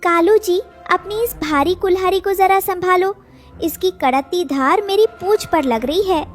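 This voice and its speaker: A woman's voice: very high-pitched, a little slow, faint music behind. A woman voicing a jealous, vain, complaining female crow in a children's cartoon story. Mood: nagging. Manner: complaining, scornful.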